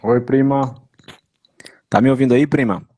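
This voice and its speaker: A man's voice: medium pitch, steady tone, moderate pace, warm tone, dry recording. A man hosting a casual online live stream. He speaks hesitantly, often restarting and repeating words.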